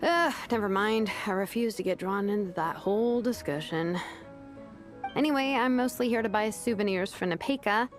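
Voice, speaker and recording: A woman voicing a dry, practical young craftswoman in a video game, talking in a wry, deadpan way. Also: mid-range voice, moderate pace, faint music behind, close to an everyday voice. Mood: sardonic.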